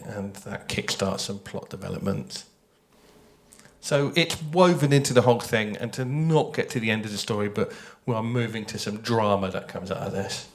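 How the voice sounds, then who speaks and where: A man speaking as a panellist, thoughtful and measured, feeling his way through his phrasing. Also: on the low side. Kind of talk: panel discussion at a festival.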